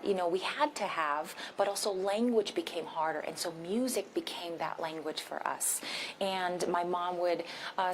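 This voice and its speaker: A woman's voice: lowish voice, a little hiss or hum, poor audio. A woman from Korea, a guest performer at a press event in a large theater, reflective and long-winded. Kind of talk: personal storytelling at press conference.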